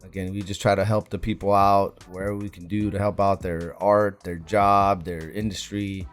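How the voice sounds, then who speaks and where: Low-pitched, laid-out casual male guest. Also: little pitch movement, moderate pace, soft background music. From Santa Ana, California. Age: around 40. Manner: repeats short affirmations. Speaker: podcast guest, co-host of another podcast. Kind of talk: casual chat.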